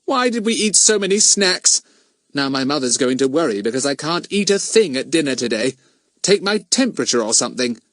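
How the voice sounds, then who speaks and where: A man voicing a confident, cheeky boy detective in a children's storybook, brisk and well-mannered. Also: a little high, very animated, a bit bright, no echo, pitched above the performer's own voice. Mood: brisk, fussing.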